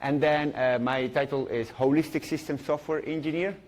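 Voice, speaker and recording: A man's voice: a little high, fairly even intonation, moderate pace. Man giving a playful technical talk. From Chile. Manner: playful, jokey, frequent fillers and restarts. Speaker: conference speaker. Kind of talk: live technical talk with audience questions. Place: conference room.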